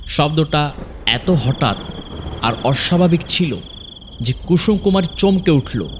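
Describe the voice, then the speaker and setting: A man narrating a scripted horror story dramatically, in measured, suspenseful phrases with pauses. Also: on the high side, animated, fairly bright tone, a little hiss or hum.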